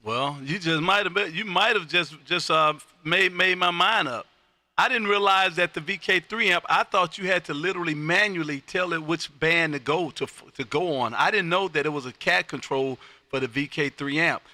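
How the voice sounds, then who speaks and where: Bright, high-pitched man on ham radio. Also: moderate pace. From Texas. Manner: loud, exuberant, repeats himself for emphasis. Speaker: amateur radio operator and live stream host. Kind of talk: casual ham radio chat and calling.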